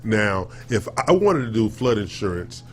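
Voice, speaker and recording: Low-voiced, agreeable male radio host. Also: big pitch swings, normal speed. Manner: agreeable, affirming. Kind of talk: casual interview talk. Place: radio studio.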